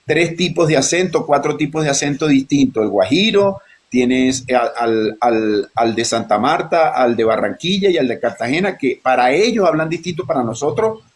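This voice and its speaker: Man telling stories animatedly. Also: a little high. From Venezuela (Maracaibo). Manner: animated storytelling, frequent restarts and repetitions. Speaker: actor guest. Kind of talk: live panel conversation.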